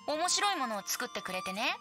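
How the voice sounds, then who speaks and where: A woman's voice: high-pitched, very animated, fairly quick, loud music behind the voice. A woman voicing a carefree, playful young male bard in an anime game, with a light, teasing, breezy manner. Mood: breezy, cheerful. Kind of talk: Japanese anime game voice acting.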